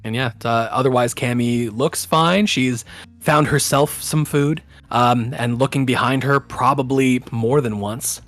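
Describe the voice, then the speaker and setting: A man runs a tabletop game as game master. His mid-range voice narrates scenes off the cuff, halting and conversational, while music plays behind him. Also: medium pitch, fairly even intonation, fairly bright tone.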